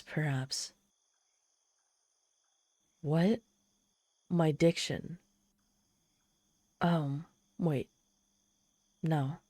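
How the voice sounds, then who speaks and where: Ancient demon woman, played by a woman for ASMR audio drama: lowish, velvety dark voice, proud and theatrical with a vulnerable streak. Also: no room sound, clean studio sound, full character voice. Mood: teasing, exasperated. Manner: haughty, theatrical, softening into shy vulnerability. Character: ancient proud tsundere demon woman, lonely, haughty, teasing. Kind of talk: ASMR audio roleplay, addressing the listener.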